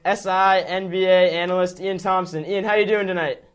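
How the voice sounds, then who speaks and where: Halting young male host. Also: sits high, level tone, clean tone. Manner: halting, repeats words. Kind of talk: young broadcaster opening an interview.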